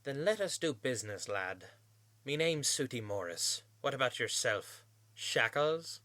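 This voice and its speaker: A man voicing a shrewd, condescending male pony merchant, high and flighty, in an audiobook-style story. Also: very animated, a little slow, bright, forceful tone, no echo. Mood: sly haggling. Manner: condescending, wheedling sales patter.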